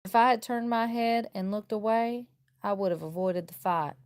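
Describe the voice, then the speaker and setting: A woman's voice: mid-range voice, normal speed, clean tone, dry recording, clean studio sound. Woman reading a children's storybook. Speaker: teacher reading a picture book aloud. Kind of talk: expressive children's storybook read-aloud in first person.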